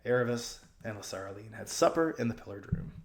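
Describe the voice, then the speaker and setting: A male storyteller narrates expressively for children, with a very animated mid-range voice. There is a gravelly creak to it, and the recording sounds roomy. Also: on the low side, fast talker.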